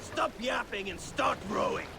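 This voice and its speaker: An actor playing a gruff, impatient, taunting Viking raider in a video game. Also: male voice, very high voice, animated, unhurried, long reverb tail, very noisy, heavily acted. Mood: gruff banter. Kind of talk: video game cutscene acting.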